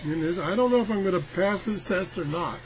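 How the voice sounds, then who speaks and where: A man with a slightly high voice chats his way through a hands-on demo. He rambles in a folksy, self-deprecating way, as casual as can be. There is some noise behind him. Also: lively intonation, a little fast. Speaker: product tester presenting a radio review.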